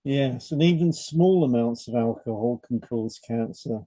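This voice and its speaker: A man co-hosting a podcast, reading scripted educational narration in a measured, explanatory way. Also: medium pitch, mellow, no echo. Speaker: podcast co-host, hypnotherapist.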